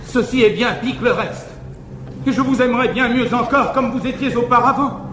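An actor playing a cowardly, scandalised manservant in classical French stage comedy, excitable and comically indignant as he pleads with his master. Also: extremely high pitch, normal speed, noisy background. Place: large theatre stage before an audience. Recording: echoey 1950s live theatre recording.

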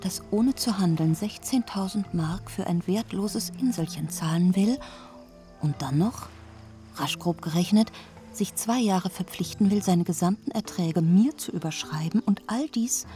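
A woman's voice: medium pitch, measured pace, dark tone, soft background music, lightly acted. An actress playing a shrewd, mocking colonial businesswoman in a radio drama, amused and calculating. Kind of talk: German radio drama acting.

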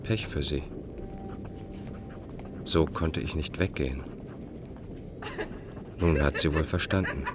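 Very deep, slow, coldly methodical man. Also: very noisy. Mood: brooding, cold. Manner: clipped, matter-of-fact. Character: cold, methodical man logging his own movements. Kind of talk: radio play monologue, clipped telegram-like diary. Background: music.

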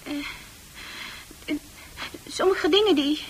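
A woman's voice: high-pitched, slow pace, poor audio. A woman playing a bewildered young psychiatric patient in a radio drama, anguished and stammering as she repeats herself. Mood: confused, hesitant.

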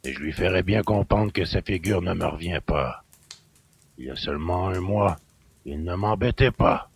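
A man voicing a surly, grumbling middle-aged country innkeeper with rustic speech and a gravelly growl. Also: sits low, normal speed, no room sound, voice pushed down, heavily acted. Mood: resentful, scornful.

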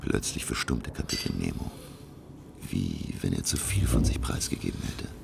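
An actor playing a curious, awestruck male scholar who narrates his undersea voyage in a hushed, deliberate, gravelly voice for a radio play. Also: a bass voice, expressive, noisy background, full character voice. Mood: hushed wonder.

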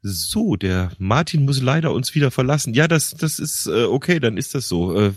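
Husky guy hosting a podcast, laughs a lot, trips over words, super dry close mic. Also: medium pitch, very animated, moderate pace, no room sound. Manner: laughs often, stumbles and repeats words. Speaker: podcast host. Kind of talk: casual chat.

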